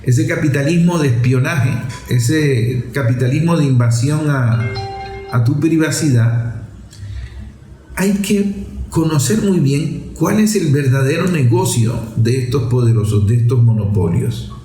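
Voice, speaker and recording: Man, mellow voice in echoey room. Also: medium pitch, lively intonation. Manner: didactic, deliberate, repeats phrases for emphasis. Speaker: political commentary show host. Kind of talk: unscripted political commentary.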